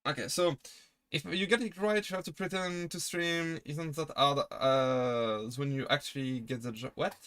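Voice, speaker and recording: A man with a French accent, chatting casually on a live stream and rambling through halting restarts and self-deprecating asides. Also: on the high side, a bit bright, clean tone, no echo. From France. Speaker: VTuber streamer.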